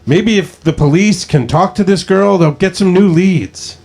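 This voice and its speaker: A man's voice: high-pitched, measured pace, gravelly, a little mellow, heavily acted. An actor in a screenplay table read playing a level-headed, supportive male friend talking on the phone. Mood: concerned.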